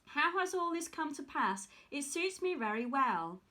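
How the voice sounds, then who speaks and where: A woman's voice: high-pitched, sing-song, unhurried, pushed and bright, heavily acted. Woman reading as a curious nagging wife. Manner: storybook read-aloud.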